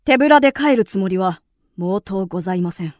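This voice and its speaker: A woman's voice: medium pitch, expressive, brisk, no echo, clean studio sound, lightly acted. A woman acting in a radio drama as an earnest, steadfast young male envoy, speaking formally and with firm deference. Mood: earnest, resolute.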